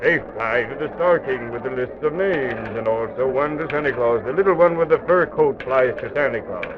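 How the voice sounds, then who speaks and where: A man acts a patient, stately enchanted knight in a vintage children's radio drama. His voice sits at an ordinary male pitch and he explains things in a measured, courteous manner. The old recording is dull and clearly noisy. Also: natural voice. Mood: patient, explaining. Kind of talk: old-time children's radio serial acting.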